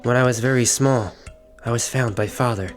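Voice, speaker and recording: Gentle giant warrior for an audio story, acted by a man: plain, earnest speech, medium pitch, faint music underneath. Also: normal speed, close to an everyday voice. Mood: wistful. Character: mountainous young male alien fighter, gentle giant.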